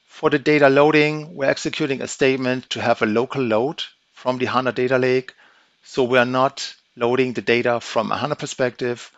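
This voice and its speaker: Man, mid-pitched voice, methodical step-by-step software walkthrough, dry close mic. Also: steady tone, a little fast, dry recording. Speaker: software demo presenter. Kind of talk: screen-recorded software walkthrough. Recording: dry, slightly dull audio.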